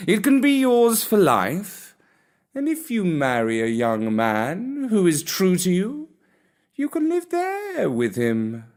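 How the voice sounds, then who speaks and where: A man voicing a gracious, generous aging male cat with a purring, measured manner for a storybook. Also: high voice, wildly swooping pitch, darkish tone, pitched above the performer's own voice, exaggerated voice.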